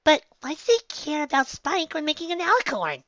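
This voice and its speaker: A man voicing a hyper, curious young pony mare in a story reading, with an excitable questioning manner. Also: very high voice, a little fast, dry recording, dull audio, voice pushed up, heavily acted. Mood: excited, curious.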